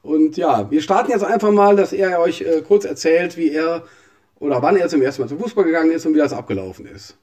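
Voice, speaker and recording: A man's voice: on the high side, expressive, brisk, darkish tone. A male host interviewing a guest, prompting him and rephrasing his answers in casual German.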